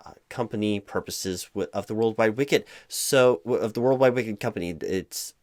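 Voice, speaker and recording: Halting, low-voiced man as flustered hiring manager. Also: a little mellow, no echo, clean studio sound. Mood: flustered, formal. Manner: halting, stammering, awkwardly formal. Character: flustered, distracted, apologetic male hiring manager. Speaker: performer playing a job interviewer. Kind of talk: one-sided comedic audio roleplay, loud spoken.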